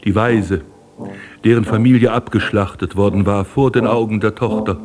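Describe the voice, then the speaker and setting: A man's voice: on the low side, fairly even intonation, moderate pace, music in the background, close to an everyday voice. An actor playing a proud, possessive aging prince and father figure, solemn and declamatory in a poetic radio drama. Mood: proud, self-righteous. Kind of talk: literary German radio drama acting.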